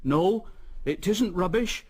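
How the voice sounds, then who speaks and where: A band of spooked workmen argue high and insistent as a man plays them. The delivery bounces around with a husky tone, in storybook fashion. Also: slow, full character voice. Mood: alarmed. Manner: excited, insistent. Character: panicked group of male workmen.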